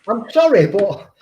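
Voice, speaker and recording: A man's voice: extremely high pitch, warm tone, a little hiss or hum. A man from Manchester with a Mancunian accent, a guest on a casual livestream who rambles, repeats himself and uses many fillers. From Manchester, England. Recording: dull lo-fi audio.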